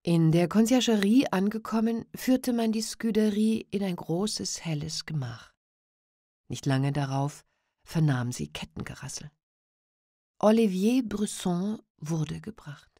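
A woman narrating a classic novella as an audiobook, in a measured, unhurried storytelling manner. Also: medium pitch, lively intonation, warm tone, no room sound, clean studio sound.

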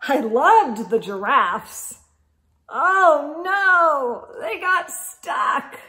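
An eager, easily exasperated old Santa from a storybook played by a woman tells his tale in a high voice. The delivery is emphatic and exclamatory, swinging widely in pitch. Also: animated, very slow, bright, forceful tone, heavily acted. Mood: dramatic recounting. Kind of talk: storybook narration in first person. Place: indoor room lined with bookshelves.

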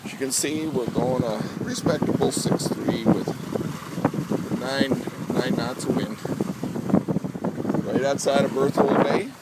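A man's voice: medium pitch, lively intonation, slow, vocal fry, pauses often. A man narrating his own home video casually, in a laid-back, understated way, outdoors on a handheld camera. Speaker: amateur sailor filming home videos. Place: outdoors on a sailboat and lakeshore. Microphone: handheld camera microphone. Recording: wind-battered handheld camera, boat noise.

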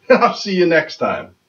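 A man's voice: high-pitched, highs cut off, noisy background. A middle-aged man hosting a welding lesson in his home workshop, talking in a plainspoken, folksy way with self-deprecating jokes. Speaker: welding instructor and host. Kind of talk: casual how-to demonstration.

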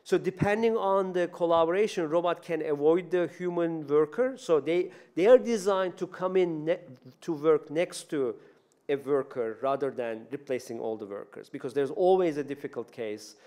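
A man in his fifties speaks English with a Turkish accent, his voice a little higher than most men's. He lectures into a podium microphone in a university room and talks casually, full of "you know" and restarted phrases. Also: normal speed, dark tone. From Turkey. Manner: conversational, many fillers and restarts. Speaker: robotics professor giving a talk. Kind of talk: public lecture.

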